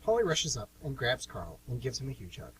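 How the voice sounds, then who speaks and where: Man, radio drama narrator and host, medium pitch, animated delivery, amateur recording with hum. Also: a little fast, husky, rough recording. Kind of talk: scripted radio play narration.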